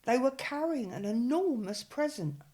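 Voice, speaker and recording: A woman reading a children's picture book aloud in a gentle, expressive bedtime manner. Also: a little high, moderate pace. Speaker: storyteller.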